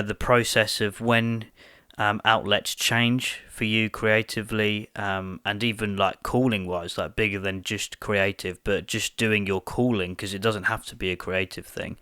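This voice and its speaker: A young man co-hosting a casual podcast chat at home, thoughtful and hesitant, slightly croaky from a cold. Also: fairly low pitch, sharp tone, no room sound, clean studio sound. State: hoarse from a cold. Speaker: podcast co-host. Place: small music room at home.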